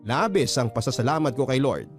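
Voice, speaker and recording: A man voices a sincere letter writer recounting a life story. He has a Filipino accent and a medium-pitched voice. Music is clearly heard under the scripted radio narration. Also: lively intonation, a little fast, lightly acted. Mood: reflective.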